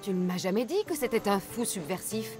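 A woman's voice: medium pitch, animated, a little fast, music in the background, lightly acted. An actress playing a tough, wry female mercenary hunter in a French game dub, dry and blunt. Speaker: video game voice actor.

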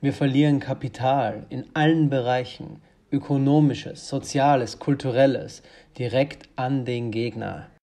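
A man's voice: mid-range voice, fairly even intonation, measured pace, dark tone, close to an everyday voice. An actor playing a brusque, vehement male political strategist in an audio drama, speaking formally and emphatically. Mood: grave, urgent.

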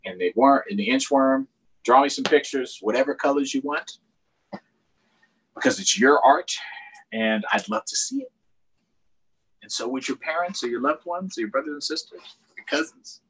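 Casting: American man, middle-aged, slightly husky voice, very animated children's storytime reader who explains things as he goes. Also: mid-range voice, normal speed, poor audio. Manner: expressive, explaining to young children. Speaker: storytime host. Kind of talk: children's storytime read-aloud with chatty asides. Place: small room at home, indoors. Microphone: computer or tablet on a video call.

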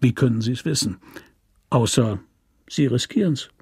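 An actor playing a calm, shrewd gentleman who questions a convict in a controlled, dry manner in a radio play. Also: mid-range voice, normal speed, breathy edge, dry recording.

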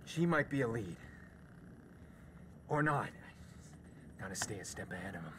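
An actor playing a grim, guarded vigilante hacker in a video game, gravelly and terse. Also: male voice, sing-song, a little slow, noisy background. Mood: tense. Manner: terse, low-key. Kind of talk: cinematic video game acting.

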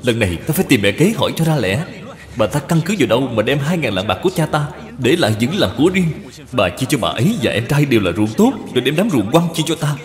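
A man's voice: medium pitch, big pitch swings, fast talker, lightly acted. A man voicing an aggrieved but filial scholar husband in a cartoon, formal and emotional. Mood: indignant. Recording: long reverb, music behind.

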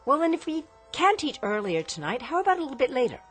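An actress playing a restless, dissatisfied daughter in an audio drama, earnest and pointed. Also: female voice, high voice, big pitch swings, quick pace, soft background music. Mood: restless, pleading. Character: restless, dissatisfied grown daughter yearning for change.

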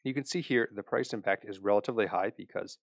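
Low-voiced man walking through a tutorial. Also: quick pace, vocal fry, no room sound. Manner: relaxed explanatory, frequent "uh" and restarts. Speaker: crypto tutorial host. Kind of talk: screen-recorded step-by-step tutorial.

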